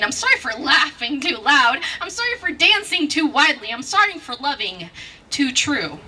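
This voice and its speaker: A woman's voice: sits high, pushed and bright. A woman performing spoken word poetry with a rhythmic, emphatic, dramatic delivery. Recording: distant mic with faint background noise.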